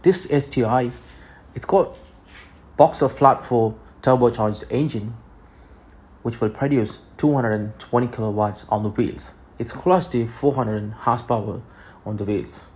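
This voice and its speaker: A man's voice: mid-range voice, unhurried, a little mellow. A young man with an Indian accent talking up a car outdoors, eager and stumbling over repeated words. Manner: enthusiastic, repeats words as he talks. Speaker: car vlogger. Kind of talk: casual car review. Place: outdoors on a quiet road.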